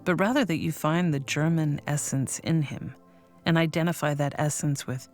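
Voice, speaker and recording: A woman's voice: low voice, natural voice. Woman reading as a young scholar writing letters. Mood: firm. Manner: measured, formal, thoughtful. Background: faint music.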